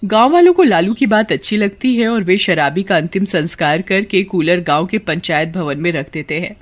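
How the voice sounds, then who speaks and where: A woman's voice: fairly low pitch, expressive, normal speed. A woman reading scripted story narration as the narrator.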